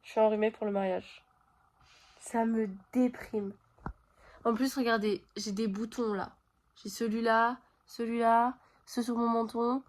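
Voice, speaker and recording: A woman's voice: on the high side, little pitch movement, measured pace. A woman vlogging from her bedroom at home with a stuffy nose from a cold, chatting straight to her viewers with plenty of fillers. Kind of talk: casual chat to camera.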